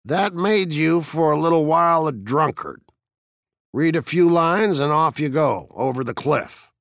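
A man's voice: fairly high pitch, a little slow, vocal fry, fairly bright tone, no room sound, studio quality, pitched above the performer's own voice. A man reading an audiobook as a grandiloquent fire chief, needling and mock-jovial, with a sly, gleeful, declamatory delivery. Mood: needling, grandiose. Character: Beatty, taunting, grandiloquent male fire chief, slyly gleeful bully. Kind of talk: audiobook character acting.

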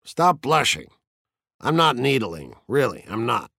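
A man's voice: mid-range voice, sing-song, unhurried, vocal fry, bright, forceful tone, no room sound, studio quality, exaggerated voice. A man reading an audiobook as a grandiloquent fire chief, needling and mock-jovial, with a sly, gleeful, declamatory delivery. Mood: needling, grandiose. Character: Beatty, taunting, grandiloquent male fire chief, slyly gleeful bully. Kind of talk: audiobook character acting.

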